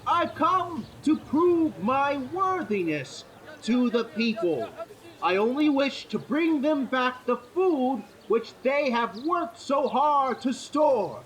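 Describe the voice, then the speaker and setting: Pompous nobleman, high-pitched, swooping intonation, grandiose, formal, fantasy audio drama. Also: big pitch swings, full character voice, rough recording. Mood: pompous, declamatory. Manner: grandiose, formal, drawn-out delivery. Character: pompous nobleman, self-declared lord regent, theatrically addressing a spirit.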